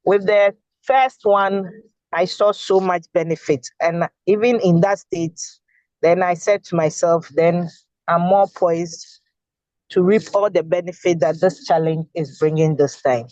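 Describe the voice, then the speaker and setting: A woman's voice: fairly low pitch, steady tone, darkish tone, dry recording, muffled recording. A woman sharing earnestly with a group on a video call. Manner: slow, earnest. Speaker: participant.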